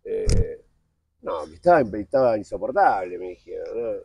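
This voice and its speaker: A man in his forties with a Buenos Aires accent, a guest talking candidly outdoors in a park, blunt and slangy, often halting and restarting. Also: on the low side, big pitch swings, gravelly, darkish tone, breathy edge. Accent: Buenos Aires Spanish. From Argentina. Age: 40s. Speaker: guest, TV producer. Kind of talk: candid casual interview.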